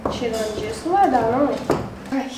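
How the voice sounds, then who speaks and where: A woman's voice: a little high, expressive, fast, roomy sound, background noise. A young woman chatting to viewers in a casual, exasperated way as she cooks in her home kitchen. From Brazil. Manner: exasperated, chatty, talks to viewers while cooking. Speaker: channel host. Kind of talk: casual cooking vlog chat.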